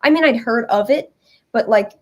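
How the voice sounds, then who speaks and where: Young woman, fairly high pitch, casual storytelling, giggly, conversational interview guest. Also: moderate pace, darkish tone. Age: young adult. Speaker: interview guest, musical writer.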